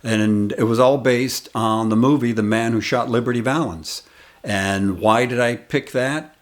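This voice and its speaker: Older man telling stories. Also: mid-range voice. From United States. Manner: rambling, reminiscing, unhurried anecdotes. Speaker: comedian and channel host. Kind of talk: casual storytelling to camera.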